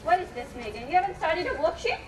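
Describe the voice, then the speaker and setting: Young woman playing female teacher in a school play, high voice, instructive, patient but fed up, noisy far mic. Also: sing-song, fast. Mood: exasperated. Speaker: student actor in a school play. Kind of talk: amateur stage play acting. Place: echoey hall, distant microphone. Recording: echoey, noisy stage recording from afar.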